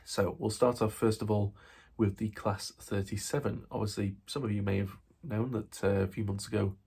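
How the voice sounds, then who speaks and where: A man's voice: fairly low pitch, fairly even intonation, moderate pace, creaky, darkish tone, slightly rough. A man with a Yorkshire accent and a slightly nasal voice from a cold, hosting a casual, rambling video update about model railways. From England. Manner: rambling, with many fillers and restarts. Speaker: model railway channel host.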